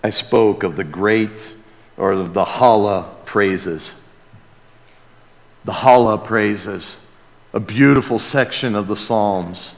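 A man's voice: on the low side, takes it slowly, pauses often. Guy preaching in a big echoey hall, takes his time, reverent and emphatic. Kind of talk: sermon with scripture reading and call-and-response. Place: large church hall before a congregation. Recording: reverberant large hall, amplified live sermon.

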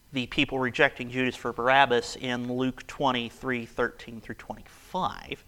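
A man preaching a casual, conversational sermon, his voice raspy and hoarse from a cold. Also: mid-range voice, pushed and bright.

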